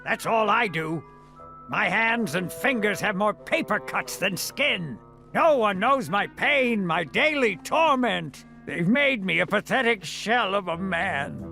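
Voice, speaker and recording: A man's voice: high-pitched, creaky, bright, forceful tone, exaggerated voice. A man voicing a self-pitying, pompous city mayor in a video game, with theatrical, melodramatic delivery.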